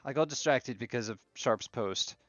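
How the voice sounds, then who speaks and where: A man hosting a casual game stream, chatting in a relaxed way while fiddling with his setup. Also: mid-range voice, normal speed, dry recording, muffled recording, faint music behind. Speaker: streamer host.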